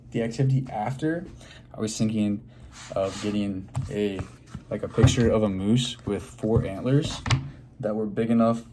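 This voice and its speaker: A man reading a children's story aloud expressively, pausing to put questions to his listeners. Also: on the low side, dark tone, slight background noise.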